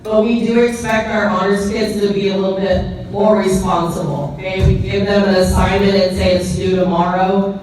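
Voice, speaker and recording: A woman's voice: mid-range voice, fairly even intonation, normal speed, a little mellow. A woman teacher explaining things in an informal, matter-of-fact presentation. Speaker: middle school science teacher. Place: large echoing indoor room with ambient noise. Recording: very echoey hall from far off, faint noise.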